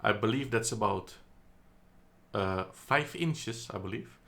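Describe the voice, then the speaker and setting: Casual low-voiced man reviewing a gadget. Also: animated, slow pace, creaky, fairly bright tone. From Netherlands. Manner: chatty, hesitant, many ums and self-corrections. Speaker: product reviewer. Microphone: phone camera.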